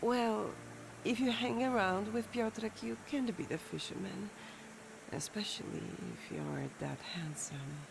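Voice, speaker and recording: A gravelly, jumpy street woman. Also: medium pitch, unhurried, noisy background. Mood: wary.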